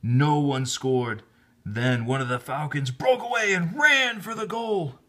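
A man reading a children's story aloud in an expressive, deliberate storytelling manner, with casual asides. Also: on the high side. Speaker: storybook reader, teacher. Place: indoors, filming the book held in hand.

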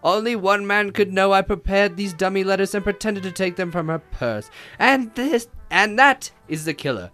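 A man acts out a large, imperious armchair detective for a radio play. His delivery is formal and pompous, pitched high with lively swings. Light music sits behind him. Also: normal speed, few pauses. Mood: accusing. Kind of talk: old-time radio drama acting.

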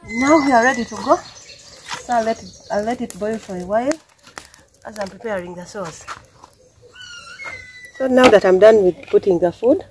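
A young Ugandan woman with a Ugandan accent explains each step plainly as she cooks over an outdoor wood fire, recorded by a camera on the ground. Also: mid-range voice. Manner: explains each step plainly, talking to viewers while working. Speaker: village cooking vlogger. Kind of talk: casual cooking demonstration. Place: outdoors by a wood fire among banana plants. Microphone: camera on the ground, distance changes as she moves. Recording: noisy outdoor camera audio.

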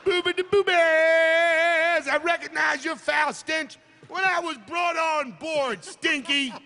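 A man's voice: very high voice, fairly even intonation, takes it slowly, sharp tone, heavily acted. A man voicing a goofy, exaggerated cartoon princess, defiant and silly, in a live comic reading. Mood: silly defiance. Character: silly high-voiced cartoon character playing a defiant space princess.

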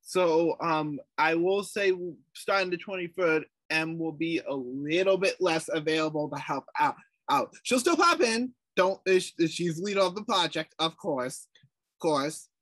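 An American man with a slightly higher voice stumbles and restarts as he chats. His recording is dry and close, with little room sound. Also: measured pace, fairly bright tone, dry recording. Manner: hesitant, restarts a lot. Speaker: co-host, writing lead. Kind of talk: casual video call update.

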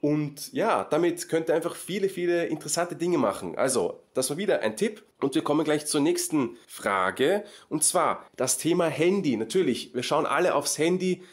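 A man giving a relaxed language lesson, speaking slowly and clearly, often rephrasing and acting out sample questions for learners. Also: a little high. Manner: repetitive, rephrases often, acts out example questions. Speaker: German teacher. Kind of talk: slow explanatory lesson for learners.

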